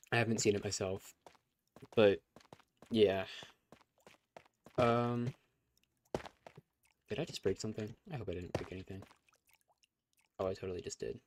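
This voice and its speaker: A young man casually chatting on a live stream as its host, rambling with fillers and restarts, his voice getting scratchy. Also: on the low side. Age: young adult. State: voice going scratchy.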